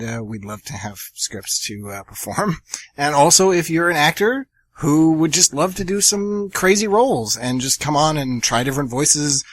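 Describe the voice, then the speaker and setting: A young man hosting a college radio theater show, chatty and energetic with plenty of fillers. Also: a little high. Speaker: host.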